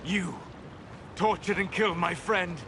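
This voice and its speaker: An actor playing a grave elven mage in a video game, protective and righteous, with measured, deliberate phrasing. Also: male voice, high voice, steady tone, background noise. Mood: grieving.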